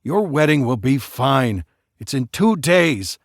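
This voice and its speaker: A man voicing a gruff, sneering middle-aged father who is dismissive. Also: mid-range voice, sing-song, takes it slowly, dry recording, clean studio sound, voice pushed up, full character voice.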